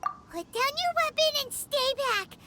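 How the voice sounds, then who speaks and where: A woman voicing a tiny, excitable female companion creature in a game, blunt and quick to be indignant, speaking of herself by name. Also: a little hiss or hum, full character voice. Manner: shrill, indignant outbursts, talks of herself in third person.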